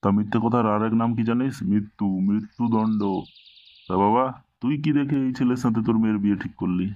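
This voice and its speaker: A man's voice: fairly low pitch, steady tone, quick pace, close to an everyday voice. A man voicing a domineering, scolding village tantric holy man in a Bengali comedy cartoon, with a preachy manner. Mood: scolding, threatening. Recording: dull lo-fi, very dry cartoon dub.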